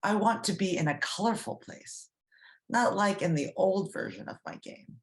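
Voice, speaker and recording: A woman's voice: medium pitch, normal speed, voice pushed up, close to an everyday voice. A woman reading the part of a friendly storybook snake who explains things simply to children. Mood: friendly, chatty. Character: friendly cartoon snake, former video game star. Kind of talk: children's read-aloud storybook.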